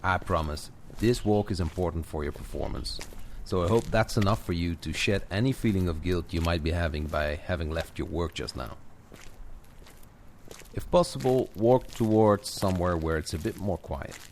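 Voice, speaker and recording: A man's voice: low voice, moderate pace, darkish tone. A man speaking as a coach in a conversational guided session, addressing one listener with encouragement and playful asides. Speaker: coach guiding a walking audio session. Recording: clear background noise of outdoor walk effects. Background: added walking footsteps, garden birds, ambience.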